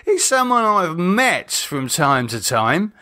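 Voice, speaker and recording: A man voicing a bitter, sardonic, self-loathing drunk in an audiobook, halting and sneering as he talks. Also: high voice, sing-song, bright, forceful tone, dry recording, studio quality, pitched above the performer's own voice, full character voice. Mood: bitter, contemptuous. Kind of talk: audiobook character dialogue.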